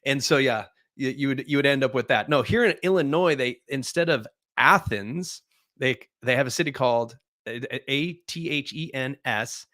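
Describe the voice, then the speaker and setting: A man from the US West Coast, a guest in a casual interview, easygoing and quick to laugh. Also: mid-range voice, pushed and bright, no echo, clean studio sound.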